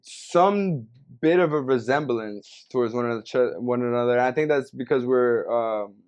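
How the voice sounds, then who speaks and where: A man's voice: mid-range voice, normal speed, darkish tone, smooth. A young man from Venezuela hosting a podcast, talking in a laid-back, slangy way and thinking aloud with fillers. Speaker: podcast host. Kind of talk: casual chat.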